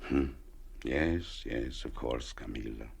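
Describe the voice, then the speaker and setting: An actor playing a sad, lonely old widower in a radio play, tender and halting, with a gravelly, husky voice. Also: male voice, extremely low pitch, exaggerated voice. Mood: tender, resigned.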